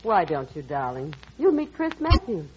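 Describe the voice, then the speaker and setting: An actress playing a wistful, gentle stepmother with a secret, in a halting, earnest old-time radio drama style. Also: low voice, wildly swooping pitch, a little hiss or hum. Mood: gentle, reassuring. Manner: halting, hesitant, softly earnest. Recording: muffled old radio recording, music behind.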